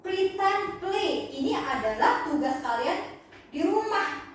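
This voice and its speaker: An Indonesian-accented woman teaches in a very high, slow voice. Her English is simple and halting. The recording is distant and heavily echoing, as in a bare room. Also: expressive. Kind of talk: classroom teaching. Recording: echoey room from afar, faint noise.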